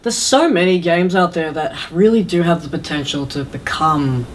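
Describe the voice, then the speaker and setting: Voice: mid-range voice, animated, moderate pace. A podcast panelist giving casual opinions in a gaming discussion.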